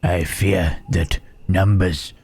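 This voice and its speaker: Man acting wary gentle creature, very slow, low-pitched, hushed, halting, old-fashioned wording, intimate ASMR roleplay. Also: fairly even intonation, gravelly, husky, no room sound, heavily acted. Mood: wary, confiding. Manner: halting, formal archaic phrasing, questioning "huh".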